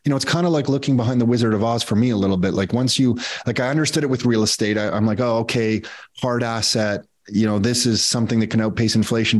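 A man's voice: medium pitch, breathy edge. A middle-aged man hosting a podcast interview over a video call, asking long, rambling questions full of fillers. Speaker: podcast host. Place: home studio office. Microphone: earbud headset on video call.

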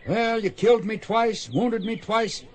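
An actor playing a fond, gruff Old West gunfighting mentor with folksy country phrasing in a radio drama. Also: male voice, high-pitched, measured pace. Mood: instructing. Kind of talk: radio drama acting, western.

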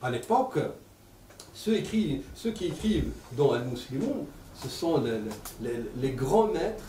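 A man in his sixties with an Arabic accent, giving an animated public talk with many repeated words. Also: on the high side, a little slow, a little hiss or hum. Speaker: lecturer. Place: lecture room. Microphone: table stand mic. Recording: echoey room, mic at a distance.

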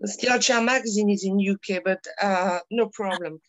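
A native French-speaking woman with a French accent, politely and haltingly asking questions as a journalist. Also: mid-range voice, no echo, poor audio. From France. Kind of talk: press-call questioning.

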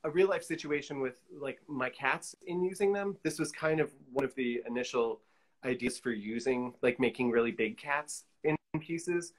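Male, middle-aged, mid-pitched. Casual interview guest on a video call, hesitant and exploratory delivery. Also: moderate pace. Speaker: guest, collage artist. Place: indoor room, on a video call.

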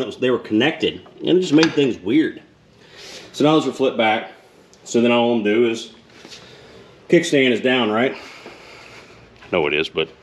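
A man in his thirties talks into a close camera in his garage, chatty and self-mocking. His pitch swings around a lot as he jokes. A faint hiss and some clicks sit under his voice. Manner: joking, self-deprecating, chatty. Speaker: motorcycle vlogger. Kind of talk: casual garage vlog. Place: home garage with open door. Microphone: camera close up, sometimes set aside.

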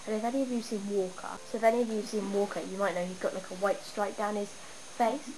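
A young woman with a stuffy cold, chatting and rambling excitedly as she shows off her game town at home. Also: medium pitch, brisk. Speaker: gamer showing her town. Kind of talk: casual game walkthrough. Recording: noisy home camera recording. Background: steady background noise.

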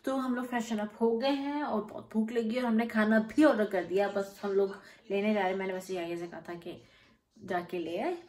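A woman's voice: mid-range voice, fairly even intonation, a little fast, darkish tone. A young woman vlogging on a road trip and in a hostel room, chatty and playfully bossy, switching between Hindi and English, filmed on a phone at arm's length. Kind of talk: casual family travel vlog. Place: inside a car, then a small hostel room. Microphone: phone held at arm's length.

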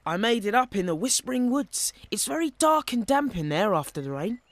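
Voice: mid-range voice, very animated, fairly quick, no echo. An actor voicing a jolly, easygoing frog in a preschool cartoon, bouncy and playful. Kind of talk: preschool animated show dialogue.

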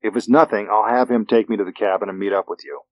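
A man's voice: fairly low pitch, steady tone, fast talker, no room sound, natural voice, rough recording. A man voicing an offhand, decisive American male FBI agent in an audiobook. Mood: intrigued.

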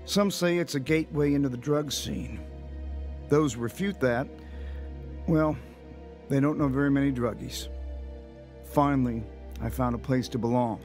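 Guy playing reflective narrator of his own life story, measured, medium pitch, faint music under. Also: darkish tone, natural voice. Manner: measured, confessional. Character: reflective grown man recounting his dark youth. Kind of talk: scripted first-person radio drama narration.

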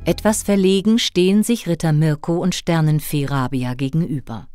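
A woman narrating a scripted children's story in an expressive storytelling manner. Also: deep voice, moderate pace, clean tone, no echo, faint music behind.

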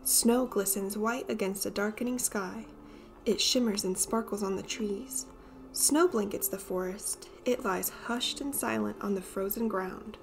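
A woman reading a children's picture book aloud as an expressive storyteller. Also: mid-range voice, measured pace, gravelly, faint music behind.

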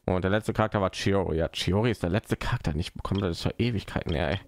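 Male streamer, very low creaky voice, casual rambling play-by-play, muttering to himself over faint music. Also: quick pace. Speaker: live streamer. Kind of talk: casual gaming stream commentary.

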